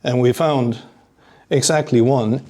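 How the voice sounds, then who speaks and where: A man from Sweden, a programmer talking as a guest in a casual interview at a conference, halting with many pauses and small chuckles. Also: medium pitch, dark tone. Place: conference venue.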